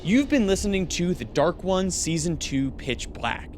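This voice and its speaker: A man's voice: sits high, very animated, a little mellow. Male radio drama narrator over music. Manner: measured, theatrical storytelling. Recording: clear music behind.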